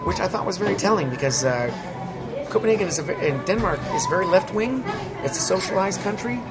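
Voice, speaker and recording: A man's voice: sits high, expressive, fast talker, over loud music. A man with an American accent, a touring musician chatting candidly in an interview and laughing often. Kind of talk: casual interview chat.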